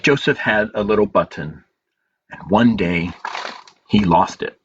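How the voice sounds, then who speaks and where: A man hosting a storytime as a librarian, reading picture books aloud in measured phrases with pauses and informal asides. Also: fairly low pitch. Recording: dull lo-fi audio with clear background noise.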